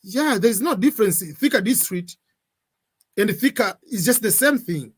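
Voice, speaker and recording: A man's voice: high-pitched, lively intonation, normal speed, breathy edge. A middle-aged man, hosting a casual live question-and-answer stream from a room at home, talking hesitantly with many fillers and mixing English with Swahili. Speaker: livestream host answering viewer questions.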